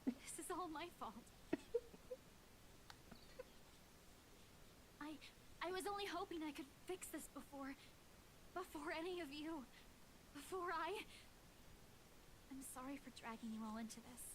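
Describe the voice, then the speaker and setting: A woman voicing an anxious, earnest young woman in a horror visual novel who apologizes yet stays determined, with a soft, pleading delivery. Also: sits high, normal speed, long pauses, background noise, natural voice. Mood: remorseful. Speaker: game character.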